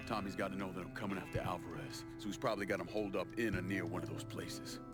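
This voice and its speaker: A man's voice: low-pitched, steady tone, fast talker, natural voice. A man with an American accent playing a determined, blunt, profane young man out for revenge on the mob in a video game cutscene. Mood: grim, determined. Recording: music behind the voice.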